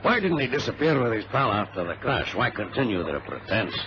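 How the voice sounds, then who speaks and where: An actor playing a sensible, loyal adventurer and narrator in an old radio drama, speaking in a measured, old-fashioned way. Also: male voice, lowish voice, very animated. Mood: resolute. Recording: muffled, noisy vintage radio recording.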